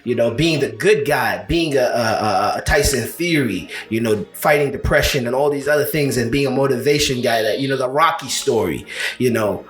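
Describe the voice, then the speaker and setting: A man talks fight history in a loose, rambling way, circling back with "you know" and restarting his sentences. His voice sits at an ordinary middle pitch. The room around him echoes noticeably. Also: moderate pace, faint music behind. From Uganda. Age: 30s. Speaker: co-host of a combat sports show. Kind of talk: casual podcast chat.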